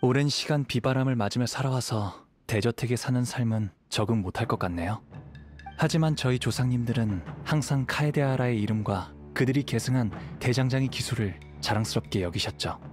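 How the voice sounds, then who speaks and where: Man voicing a gentle, mid-pitched young wandering samurai. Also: a little fast, close to an everyday voice. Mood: humble, reserved. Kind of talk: game voice acting. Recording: music behind the voice.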